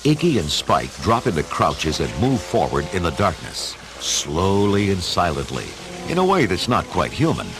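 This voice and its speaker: Male storyteller, very low voice, lively dramatic inflection, scripted narration, music underneath. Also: normal speed, few pauses. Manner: theatrical, storytelling.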